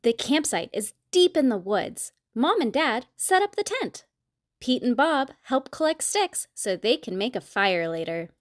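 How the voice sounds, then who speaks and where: A woman reading a storybook aloud to young children in an expressive, deliberate storytelling manner. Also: a little high, no room sound, clean studio sound. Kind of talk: scripted read-aloud narration for young children.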